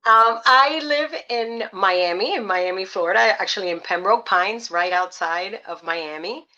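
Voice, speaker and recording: A woman's voice: mid-range voice, unhurried, bright, forceful tone, muffled recording. A middle-aged woman from Puerto Rico, a salsa singer chatting as an interview guest, upbeat and full of uh and um fillers. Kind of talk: casual interview chat.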